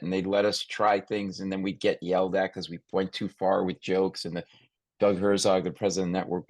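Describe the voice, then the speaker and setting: Low-voiced man, dry close sound, rambling host. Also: no echo. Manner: animated, rambling, breaks off and restarts. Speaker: podcast host, comedy writer. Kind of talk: casual conversational interview.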